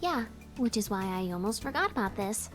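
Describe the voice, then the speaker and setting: An actress playing an excited, proud young student engineer in a visual novel game. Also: female voice, medium pitch, brisk, natural voice. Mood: casual, thoughtful. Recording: music playing behind the voice.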